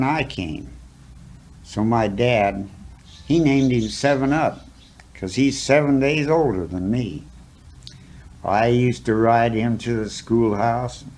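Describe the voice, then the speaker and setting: A man's voice: mid-range voice, a little mellow. A man reciting a cowboy poem as a dramatic story, in a folksy, rustic manner. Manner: folksy, drawn-out phrasing with rustic dialect.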